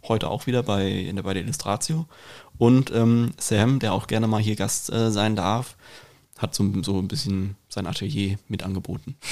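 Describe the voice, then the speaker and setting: A man's voice: lowish voice, fairly even intonation, moderate pace, darkish tone. A man talking in a casual podcast chat, reflective and informal, with English slang mixed in. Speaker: podcast host and painter.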